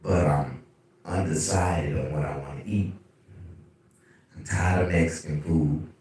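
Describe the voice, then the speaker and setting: A man with a deep bass voice hosting a casual livestream, telling animated, profane stories. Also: unhurried, distant mic. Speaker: livestream host. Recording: very echoey room, away from mic.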